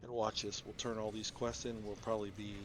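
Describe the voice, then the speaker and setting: A man's voice: lowish voice, flat delivery, brisk, noisy background. A man giving casual commentary as he plays through a video game. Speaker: gamer narrating a playthrough.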